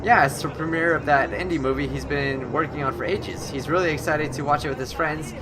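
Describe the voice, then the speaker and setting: A man's voice: a little high, brisk, noisy background, lightly acted. A man voicing a breezy, teasing young friend chatting in a visual novel game. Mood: casual.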